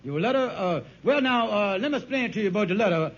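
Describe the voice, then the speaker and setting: A man's voice: high-pitched, animated, fast talker. An actor playing a scheming, broke, blustering married man who stalls and wheedles in ungrammatical talk on an old radio sitcom. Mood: bluffing. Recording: muffled, noisy old radio recording.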